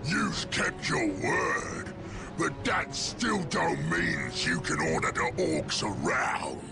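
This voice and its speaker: A crude orc warlord, gravelly and very deep, roaring, slow and swooping, over score. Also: male voice, wildly swooping pitch, full character voice. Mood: defiant. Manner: shouting, gravelly, crude slang. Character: brutish loud male orc boss. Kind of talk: video game cutscene acting. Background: music.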